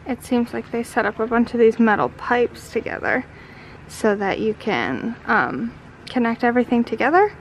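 High-voiced young woman vlogging enthusiastically. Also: animated, normal speed. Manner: enthusiastic, exclamatory. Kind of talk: casual travel vlog narration. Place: farm sugarhouse and outdoors. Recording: noisy on-location camera audio.

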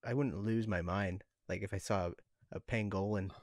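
A man's voice: lowish voice, steady tone, normal speed, a little mellow, no room sound, clean studio sound. A young man co-hosting a podcast in casual, conversational banter. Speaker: podcast co-host. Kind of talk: casual chat.

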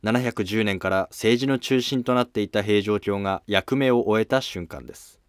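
Male history-drama narrator, lowish voice, scripted read, dry sound. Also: moderate pace, clean tone, dry recording.

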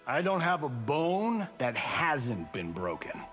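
An actor voicing a cocky, flirtatious movie-star martial artist in a video game, swaggering and slangy. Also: male voice, mid-range voice, sing-song, slow pace, music in the background. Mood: swaggering banter. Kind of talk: video game fight-intro banter.

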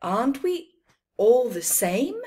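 A woman plays a little storybook camel boy, puzzled and pleading. The voice is high and plaintive, swooping up as it questions. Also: very animated, slow, mellow.

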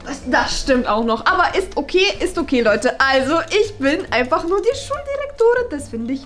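Woman playing a high-pitched, smug young headmistress. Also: big pitch swings, moderate pace, fairly bright tone, faint music behind. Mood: breezy, self-satisfied. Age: young adult. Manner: chatty, rambling, self-satisfied. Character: smug, flighty, bossy young new headmistress. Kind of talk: improvised comedy sketch acting. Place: small bright meeting room.

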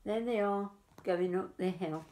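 Slow-paced woman reading to young children. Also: medium pitch, warm tone, a little hiss or hum. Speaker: nursery teacher reading a story. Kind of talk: children's picture-book storytelling. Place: small living room, indoors.

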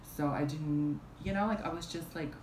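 A woman's voice: sits low, moderate pace. A young woman telling a story casually on camera at home, rambling with many fillers and restarts, a fan running beside her. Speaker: vlogger. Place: small room at home, indoors, fan running. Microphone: camera in front at arm's length. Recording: roomy with fan noise behind. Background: fan hum.

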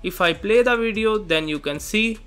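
A man with a notably high voice gives step-by-step software instructions. His tone is bright and forward, his phrasing simple, and music plays faintly underneath. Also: moderate pace. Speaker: software tutorial presenter. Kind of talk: step-by-step tutorial narration. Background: background music.

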